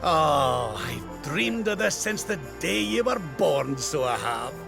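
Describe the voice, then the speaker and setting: Brief: cheeky old Scottish grandfather, lively swooping delivery, gravel in the voice, music underneath. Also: on the high side, slow, heavily acted. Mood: tender. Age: older. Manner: animated, folksy, affectionate. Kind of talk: video game voice acting.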